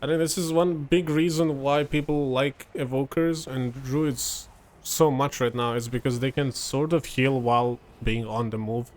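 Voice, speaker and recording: Young Serbian man, native Serbian speaker, easygoing game commentator, mid-pitched voice, loose and chatty delivery. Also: measured pace. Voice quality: relaxed, chatty, many fillers and restarts. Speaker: gameplay commentator. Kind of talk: casual gameplay commentary.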